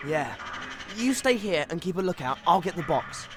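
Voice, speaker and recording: A man's voice: a little high, very animated, normal speed. An actor playing a determined, plucky teenage boy hero in a video game, direct and lightly sarcastic.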